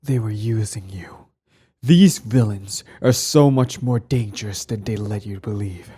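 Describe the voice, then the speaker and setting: A man playing a cold, sardonic villain with secret tenderness in an intimate ASMR roleplay, his voice low, husky and breathy. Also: warm tone. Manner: slow deliberate delivery, sardonic, condescendingly affectionate. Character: cold, mocking male villain, protective ex-hero, secretly tender.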